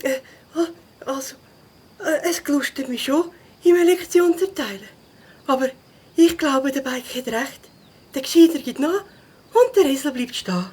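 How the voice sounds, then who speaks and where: A woman's voice: high-pitched, expressive, normal speed, long pauses, a little mellow. A woman voicing a shy, principled young catfish schoolchild in a children's audio drama, earnest and hesitant, with a Swiss German accent.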